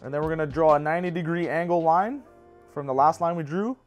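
A man's voice: a little high, quick pace, dull audio, soft background music. A man in his thirties in a bowling pro shop, casually walking through a how-to with practical personal asides.

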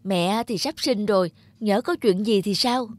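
A woman with a northern Vietnamese accent playing an anxious, strict but loving mother in an animated moral tale, earnest and expressive. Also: medium pitch, a little slow, no echo, studio quality, lightly acted. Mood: stern.

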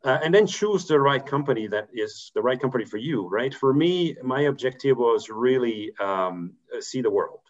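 A man's voice: mid-range voice, lively intonation, moderate pace, hardly any pauses. A man in his fifties from Belgium, a corporate treasurer giving career advice on a video call, talking thoughtfully with many fillers and restarts. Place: home room, indoors. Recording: muffled video call audio.

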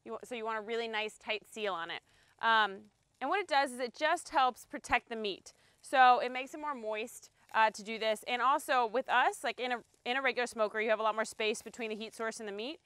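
A woman's voice: fairly high pitch, a little fast, fairly bright tone, clear, resonant tone, dry recording. A woman in her thirties giving a casual, chatty cooking demonstration on an outdoor deck, explaining as she works. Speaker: cooking instructor. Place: outdoor deck beside a grill.

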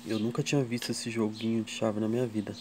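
A man from Brazil hosting a casual tool vlog at his workshop bench, slowly counting wrench sizes and chatting to viewers. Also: fairly low pitch, flat delivery, noisy background. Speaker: tool channel host. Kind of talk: casual show-and-tell vlog.

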